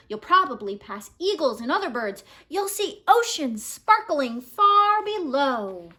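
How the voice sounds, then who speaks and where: High-pitched, animated woman reading a storybook. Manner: animated, expressive storytelling with drawn-out words. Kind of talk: children's picture book read-aloud. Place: small home room, indoors.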